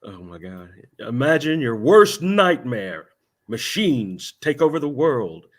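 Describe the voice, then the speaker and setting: A man with a cold and a croaky voice, co-hosting a casual podcast chat and mocking himself. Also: mid-range voice, big pitch swings, slow. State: hoarse from a cold. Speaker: podcast co-host.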